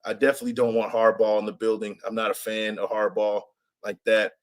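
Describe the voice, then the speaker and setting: A man with a raspy voice hosting a sports fan podcast, chatting casually and repeating himself for emphasis. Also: on the low side, fairly quick. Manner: animated, repeats himself, reads chat comments aloud. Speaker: podcast host. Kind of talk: casual live-stream chat.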